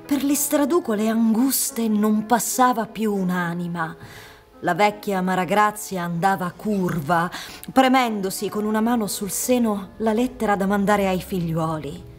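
A woman's voice: medium pitch, unhurried. A woman in her thirties giving scripted literary narration for a radio drama. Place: theatre stage set up for radio recording. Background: music.

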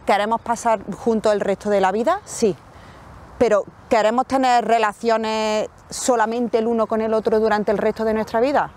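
A woman's voice: fairly high pitch, steady tone, darkish tone. A woman, openly telling her relationship story in an outdoor interview. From Córdoba, Spain. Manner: open, chatty, quick asides. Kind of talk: personal account. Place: park bench outdoors.

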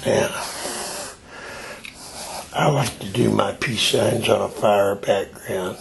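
An older man with a raspy, deeply gravelly voice walks through a craft technique as he does it. He talks in an unhurried way, explaining each step while he works. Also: a crowd in the background. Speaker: tie-dye hobbyist demonstrating. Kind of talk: slow hands-on craft demonstration.

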